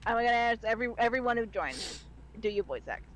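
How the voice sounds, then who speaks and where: A woman with a nasal Midwestern American accent, chatting excitedly as a guest on a livestream and swearing freely. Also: on the high side, fairly quick, a little hiss or hum. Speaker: guest voice actor. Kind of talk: casual livestream chat.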